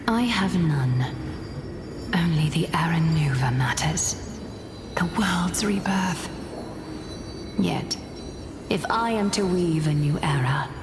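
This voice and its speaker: A woman's voice: sits low, noisy background. A woman playing a haughty noble lady in a fantasy game, cold and imperious. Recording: long hall reverb under music.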